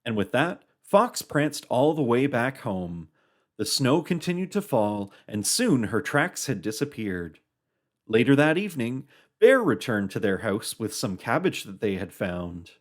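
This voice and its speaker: A narrator reading a scripted children's puppet show story with an expressive storybook delivery. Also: mid-range voice, unhurried.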